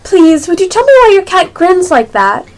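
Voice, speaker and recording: A woman's voice: very high voice, very animated, normal speed, over loud music, full character voice. A female amateur actor playing a curious, polite but easily exasperated little girl in a radio play.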